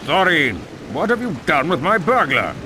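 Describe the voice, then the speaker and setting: A man's voice: fairly high pitch, sing-song, normal speed, noisy background. A man voicing an authoritative old wizard, stern but kindly, in a fantasy video game. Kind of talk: video game cutscene acting.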